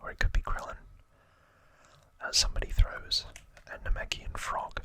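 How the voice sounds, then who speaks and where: A man whispering softly and breathily as an ASMR host, narrating trivia in short, unhurried phrases. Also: no echo.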